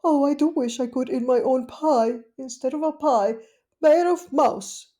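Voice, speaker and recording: A woman's voice: high voice, measured pace, dark tone, voice pushed up. A woman voicing a fussy, anxious, genteel little female dog in a storybook, fretting to herself. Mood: worried. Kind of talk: storybook character voice.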